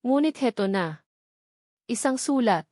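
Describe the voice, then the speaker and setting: A woman's voice: a little high, animated, measured pace, darkish tone, dry recording, studio quality. A woman with a Filipino accent narrating a scripted love story in a dramatic storytelling style.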